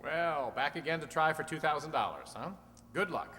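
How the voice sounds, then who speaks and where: A man's voice: a little high, expressive, moderate pace, pushed and bright. A man playing an old-time radio quiz show host, putting questions to contestants in a reverberant hall. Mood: measured. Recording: echoey hall, long reverb.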